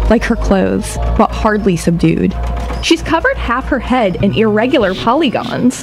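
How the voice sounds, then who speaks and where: High-voiced woman narrating over music. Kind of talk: scripted audio drama narration. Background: music under the voice.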